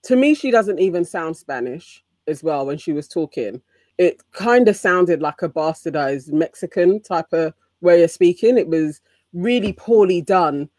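A woman in a casual panel chat who talks in a measured, analytical way. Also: on the low side, lively intonation, a little mellow, no room sound.

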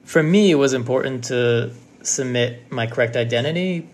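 A man guest telling his story casually, quick and emphatic, acting out quoted lines. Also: mid-range voice, a little mellow. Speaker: listener guest.

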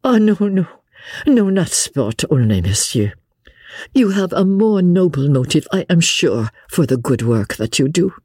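An anxious French noblewoman from a storybook, as an audiobook reader acts her out. She sighs and pleads earnestly, her husky voice swinging widely in pitch. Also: big pitch swings, a little slow, darkish tone, no room sound, clean studio sound. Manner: sighing, pleading. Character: anxious, grateful French countess, a mother, earnest and bewildered.

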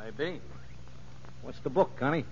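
A man's voice: medium pitch, lively intonation, unhurried, husky. An actor playing a menacing, boastful convict gang boss in a 1950s radio drama, bullying in tough-guy slang. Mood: smug. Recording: muffled, reverberant vintage radio broadcast.

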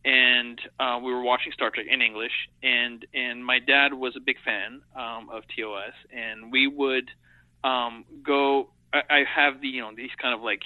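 A man's voice: medium pitch, flat delivery, a little slow, no room sound. Middle-aged man, French native speaker, earnest interview guest; dry, phone-quality line. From Europe and Africa. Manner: earnest, reflective, thinks aloud in long sentences. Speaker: guest, animation editor. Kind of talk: casual interview talk.

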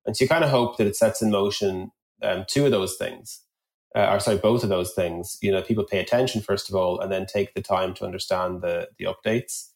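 A man's voice: low voice, fairly quick, gravelly. A man in a conversational podcast discussion, thinking aloud at length and working through examples. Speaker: podcast guest, product executive. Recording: roomy echo on the voice.

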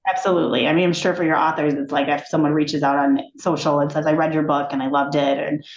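Woman guest, lively and chatty, laughs easily, slightly low voice; echoey, muffled recording. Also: quick pace. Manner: animated, chatty, laughing, many restarts. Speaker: TV executive, podcast guest. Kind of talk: casual interview chat. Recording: echoey room, dull sound.

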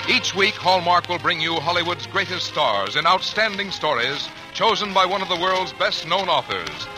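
A man announces the program and its sponsor in a smooth, persuasive way. His pitch rises and falls a lot, and music plays behind him. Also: expressive, moderate pace, low-quality recording. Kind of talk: radio commercial announcing.

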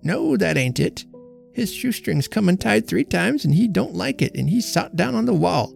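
A man's voice: sits high, very animated, moderate pace, creaky, darkish tone, soft background music, pitched above the performer's own voice, exaggerated voice. A man voicing a fretful, pleading old country wife with a plaintive, folksy manner of speech. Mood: distressed. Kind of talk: audiobook character voice.

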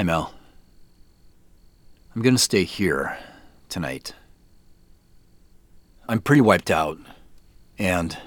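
An actor playing a tired businessman in an audio drama, dictating text messages in a measured, naturalistic way with pauses. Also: low voice, a bit bright, no echo, heavily acted. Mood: weary, casual.

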